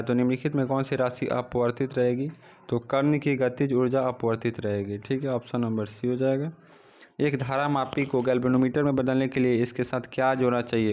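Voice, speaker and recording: Man drilling exam questions by phone. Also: medium pitch. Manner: steady question-and-answer drilling. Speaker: exam coaching teacher. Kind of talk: exam practice lesson.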